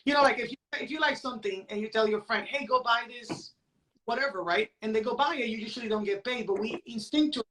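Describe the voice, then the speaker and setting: A woman's voice: on the high side, fast, pushed and bright, poor audio. A woman, explaining things conversationally as a podcast guest and checking in with "right?". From San Juan, Puerto Rico. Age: late 40s. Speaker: podcast guest, crypto educator. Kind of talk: casual conversational interview.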